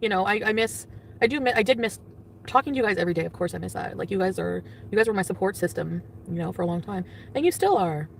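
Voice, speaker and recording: A woman's voice: medium pitch, animated, fast, gravelly. A woman vlogging and chatting with her viewers, rambling with lots of fillers and frequent laughter. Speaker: vlogger in the clip being reacted to. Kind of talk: casual vlog and live-stream chat. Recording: distant, noisy clip audio.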